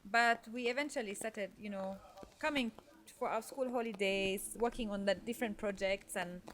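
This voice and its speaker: A woman from Norway talks through her story as a podcast guest, pausing on fillers and restarting her sentences as she thinks. Her voice sits at a middle pitch. A faint ambient noise runs underneath. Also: fairly bright tone. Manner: thoughtful, with frequent fillers and restarts. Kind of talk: conversational interview storytelling.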